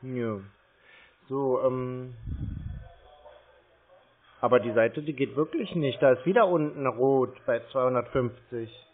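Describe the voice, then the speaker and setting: A man on a phone call, slow and muddled, stubbornly complaining to the caller. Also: medium pitch. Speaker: call recipient stringing scammers along. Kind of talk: prank phone call with scammers. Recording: tinny, band-limited phone line.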